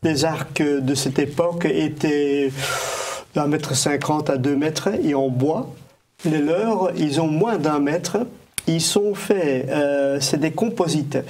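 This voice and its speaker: Slow, hesitant man with a higher voice. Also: darkish tone. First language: not French. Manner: hesitant, many fillers and restarts. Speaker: guest historian. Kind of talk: studio discussion. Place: TV studio.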